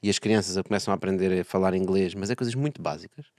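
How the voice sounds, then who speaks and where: A man's voice: sits low, fast talker, darkish tone, dry recording, studio quality. A man in his thirties with a European Portuguese accent, hosting a podcast and chatting casually, often seeking agreement. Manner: conversational, checks agreement with tag questions. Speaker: podcast host. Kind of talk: casual interview chat. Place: podcast studio. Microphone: boom-arm studio mic.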